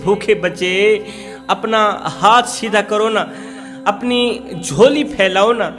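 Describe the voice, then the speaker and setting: A man's voice: sits high, slow, fairly bright tone, soft background music. A man voicing a compassionate, sick, dying father in a sermon story, speaking tenderly with emphatic repetition.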